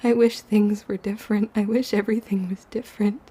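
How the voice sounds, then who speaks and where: A woman's voice: mid-range voice, little pitch movement, fairly quick, mellow, husky, lightly acted. A woman playing a guarded, wounded young witch girl who tells her story in formal period speech in an audio drama. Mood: wistful. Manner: archaic, formal period diction. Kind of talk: confessional first-person audio drama narration and dialogue.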